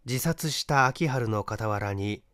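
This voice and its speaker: Man narrating mystery fiction, expressive pitch. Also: slow, no echo, clean studio sound. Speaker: audiobook narrator, stage actor. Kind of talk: scripted narration of a mystery short story.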